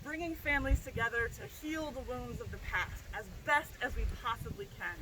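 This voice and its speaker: A high, bright-voiced woman professor addresses a gathered crowd outdoors with eager, talkative energy, often asking "right?". Steady noise and passing traffic sit under her voice in this open-air recording. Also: fairly quick. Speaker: professor and nonprofit founder. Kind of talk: outdoor public speech. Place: outdoors at a historic site.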